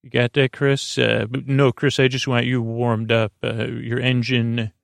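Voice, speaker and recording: A man with a creaky, gravelly voice hosting a sleep podcast, rambling in a lulling, halting, meandering bedtime monologue. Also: medium pitch, flat delivery, no room sound, clean studio sound. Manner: lulling, soothing, halting with tangents.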